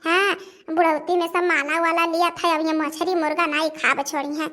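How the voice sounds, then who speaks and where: A performer voicing a grumbling old village mother-in-law in a cartoon, with an Awadhi accent and a complaining, drawn-out delivery. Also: very high voice, level tone, bright, forceful tone. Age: older. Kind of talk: homemade cartoon dialogue in rural dialect.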